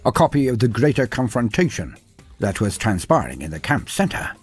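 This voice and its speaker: A man's voice: medium pitch, big pitch swings, normal speed, slightly rough, faint music behind. A man giving scripted dramatic narration of fantasy war lore in a theatrical, wry storybook manner.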